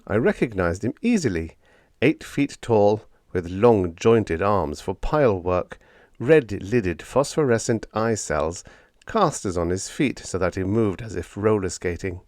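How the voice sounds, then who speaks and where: A man's voice: fairly low pitch, darkish tone, no echo, clean studio sound. A man reading a story aloud as an audiobook narrator, in a dramatic scripted style.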